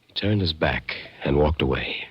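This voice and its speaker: An actor playing an earnest, bewildered man who narrates an eerie thriller in intense, deliberate 1940s radio style. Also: extremely low pitch, expressive, husky, highs cut off, heavily acted. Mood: awed, tense. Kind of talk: 1940s radio acting, dramatic first-person narration.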